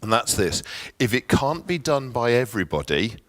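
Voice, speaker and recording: A man's voice: mid-range voice, big pitch swings, normal speed, fairly bright tone, no echo. A middle-aged man with an English accent gives a conference workshop talk in a friendly, chatty way, with asides and filler ums. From England. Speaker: workshop presenter.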